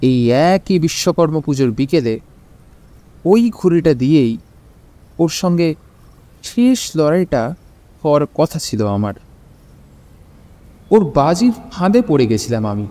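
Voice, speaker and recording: A man playing a calm, eerie ghost in an audio horror drama, speaking in measured phrases with ominous pauses. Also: a little high. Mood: slow, haunted recollection. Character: calm, eerie male ghost avenging his own murder. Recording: long hall-like reverb.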